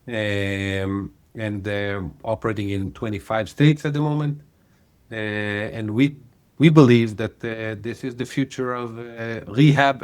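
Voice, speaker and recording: Israeli-accented man, halting and thoughtful. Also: fairly low pitch. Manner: halting, many pauses and "uh" fillers. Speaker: guest, company founder. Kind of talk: podcast interview.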